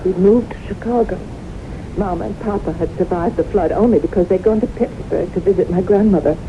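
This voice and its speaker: An actress playing a haunted woman who recounts disasters in dramatic, deliberate radio drama style. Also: fairly low pitch, close to an everyday voice. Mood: haunted, sorrowful. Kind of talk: 1970s radio drama acting. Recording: muffled noisy old radio recording.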